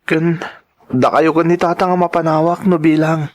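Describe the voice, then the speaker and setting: Earnest, high-voiced humble young man played by an actor. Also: level tone, moderate pace, natural voice. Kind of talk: Ilocano radio-drama acting.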